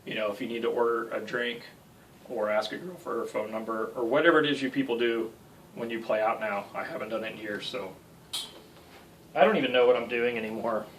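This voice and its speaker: A man's voice: mid-range voice, steady tone, brisk, distant mic, slight background noise, poor audio. An American man in his thirties giving a casual guitar tutorial with self-deprecating, joking asides. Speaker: guitar instructor. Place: small home music room.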